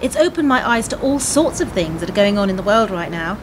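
An actress as an eager, animated female fan. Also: mid-range voice, brisk, noisy background, natural voice. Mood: admiring. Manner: earnest, questioning, admiring. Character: eager, inquisitive female fan with a dull job. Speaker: actor in a radio play. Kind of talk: radio drama dialogue.

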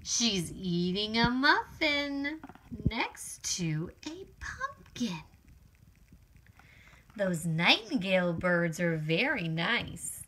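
A woman reading a children's picture book aloud in an expressive, exclamatory, sing-song storytelling manner for young children. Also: medium pitch, very slow, a little hiss or hum.

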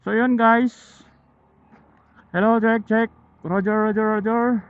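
Male Filipino rider-vlogger, chatty and halting, slightly high voice, narrow phone-like helmet intercom sound. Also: fairly even intonation. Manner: chatty, halting, thinking aloud. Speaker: motorcycle vlogger. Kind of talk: casual vlog chat to viewers while riding. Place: outdoors riding a motorcycle on mountain roads. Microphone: helmet intercom microphone. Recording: muffled phone-like helmet intercom, loud vehicle noise.

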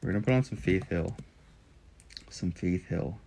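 A man's voice: moderate pace, vocal fry, warm tone, a little hiss or hum, low-quality recording. Young man chatting on a phone livestream. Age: young adult. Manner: playful, bursts into high owl hoots. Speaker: livestream host. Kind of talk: casual livestream chat reading comments. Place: small indoor room. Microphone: phone held close.

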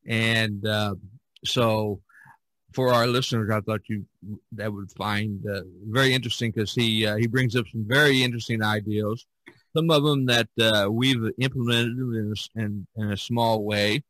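A man hosting a casual podcast, speaking in a halting, deliberate way. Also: fairly low pitch, fairly even intonation, fairly bright tone, no echo, rough recording. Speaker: podcast host.

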